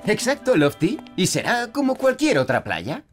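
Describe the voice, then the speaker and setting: Friendly cartoon builder boss, Castilian Spanish, reassuring, lively delivery, music bed. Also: male voice, high-pitched, normal speed. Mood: upbeat. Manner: upbeat, encouraging, reassuring. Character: cheerful, calm adult male builder and team leader. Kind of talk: animated children's series dubbing.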